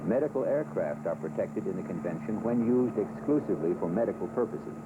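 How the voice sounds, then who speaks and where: An American man reading scripted training film narration in a formal, measured, solemn manner. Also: on the low side, animated, noisy background. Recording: 1970s film soundtrack with music. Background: background music.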